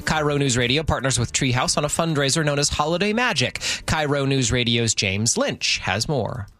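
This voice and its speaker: A man's voice: mid-range voice, lively intonation, moderate pace, fairly bright tone, dry recording. A man working as a radio news anchor, presenting and bantering lightly.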